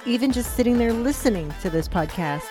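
A woman's voice: on the high side, lively intonation, fairly quick. Woman podcast co-host over music. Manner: warm, encouraging, laughs along often. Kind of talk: podcast intro and casual chat.

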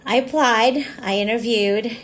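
A woman's voice: a little high, a little slow, fairly bright tone, slight background noise. A middle-aged woman giving conversational interview answers as a nonprofit executive.

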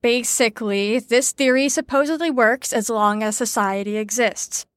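An actress playing a brisk, sarcastic teacher in an audio drama, lecturing in an articulate, wry way. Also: female voice, on the high side, a bit bright, dry recording, close to an everyday voice. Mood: wry, impatient. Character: brisk, sarcastic female teacher explaining psychology theories. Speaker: lecturer leading a group lesson.

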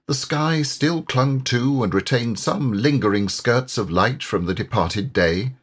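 A man reading a literary story as an audiobook narrator, measured and expressive. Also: on the low side, slightly rough.

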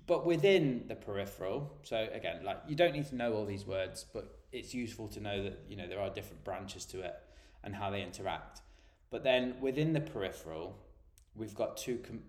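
A man's voice: on the low side, roomy sound. A man, a health and nutrition coach, hosting a solo podcast and explaining things in a chatty, plain way while stuffed up from a cold.

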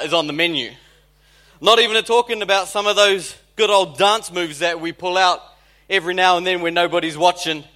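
New Zealand accent, man, pastor, sermon, high-pitched, bright forceful tone. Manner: conversational preaching with jokes and emphatic repetition.

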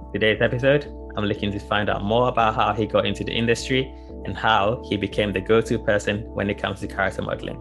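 A man's voice: on the low side, fairly bright tone. A man hosting a podcast interview, speaking hesitantly with repeated words and fillers. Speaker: podcast host.

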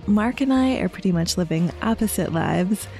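An American woman hosting a podcast, introducing and interviewing a guest in a friendly, measured way. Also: mid-range voice, animated, darkish tone, faint music behind. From United States. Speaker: podcast host.

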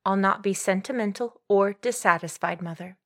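Woman acting a bashful young girl in an audiobook drama, earnest, confessing her silly mistakes, medium pitch. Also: moderate pace, fairly bright tone, close to an everyday voice. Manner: confessional, self-reproachful.

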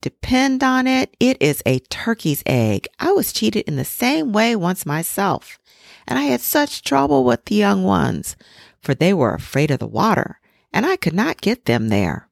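Woman doing a high, scolding old duck; dry close read, lively swoops. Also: a little slow, creaky, no room sound. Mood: bossy. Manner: shrill, emphatic scolding. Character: elderly female duck visitor, bossy and knowing. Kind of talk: storybook reading.

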